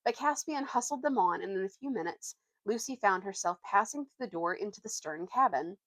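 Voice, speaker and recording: A young woman vlogger with a medium-pitched voice, recorded dry. She moves between storybook narration and casual, informal chat with youthful phrasing. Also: animated, a little fast, fairly bright tone, no room sound, low-quality recording. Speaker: vlogger reading books aloud.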